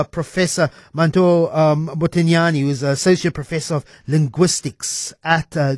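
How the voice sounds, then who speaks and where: Host: South African male radio presenter, slightly high pitch, measured and clear. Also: darkish tone, no room sound, studio quality. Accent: South African English. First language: Afrikaans. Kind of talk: radio interview.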